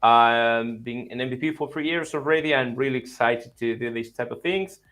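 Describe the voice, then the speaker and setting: A man's voice: mid-range voice, normal speed, a bit bright. A man hosting an online panel chat, friendly and rambling with many fillers. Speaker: host.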